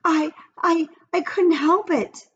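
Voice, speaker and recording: A woman's voice: extremely high pitch, slow, lo-fi sound, slight background noise, voice pushed up. A woman voicing a hesitant, anxious schoolboy in a children's story. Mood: sheepish. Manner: hesitant, protesting. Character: anxious schoolboy wrestling with temptation.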